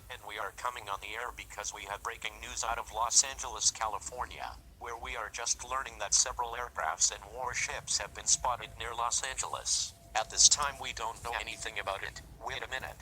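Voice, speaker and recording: Man acting as an urgent, bright-voiced news anchor. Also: medium pitch, fairly quick, hardly any pauses, a little hiss or hum, rough recording. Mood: urgent, alarmed. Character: urgent male TV news anchor breaking invasion news. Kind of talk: mock breaking news bulletin.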